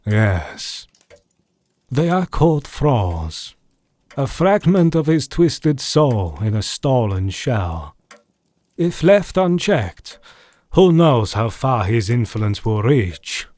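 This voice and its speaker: A man as an old, learned vampire-hunting doctor with a mid-pitched voice. He speaks solemnly and formally in an audio drama, recorded dry and close. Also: sing-song, slow pace, darkish tone, no room sound. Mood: grave.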